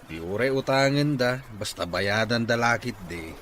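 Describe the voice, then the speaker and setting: A man's voice: mid-range voice, expressive, a little slow, fairly bright tone, a little hiss or hum. A man acting a plain-spoken farming father in an Ilocano drama, with an Ilocano accent. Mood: matter-of-fact. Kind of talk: amateur Ilocano radio-style drama acting.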